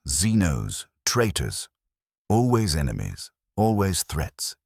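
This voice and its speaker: A man narrating a scripted dramatic story in a solemn, measured way, with short clipped statements. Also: extremely low pitch, no echo, clean studio sound.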